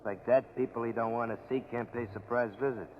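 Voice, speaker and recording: Noisy dull vintage radio, male mob henchman played by an actor, middling pitch, tough, slangy, obedient, 1940s crime drama. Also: fairly even intonation, brisk, lightly acted. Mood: guarded, tough. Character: rough, obedient male gangster henchman and getaway driver.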